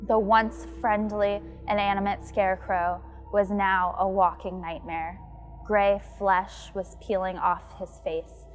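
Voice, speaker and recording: Woman narrating horror over faint music. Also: mid-range voice. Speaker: story narrator. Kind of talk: scripted horror story narration.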